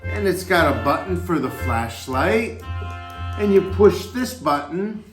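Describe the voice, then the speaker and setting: A man with a slightly high voice talks slowly through a casual toy review, explaining each step simply. The room is echoey, and music plays clearly behind him. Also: expressive. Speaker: parent demonstrating a toy for a family channel. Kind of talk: casual product review with a child.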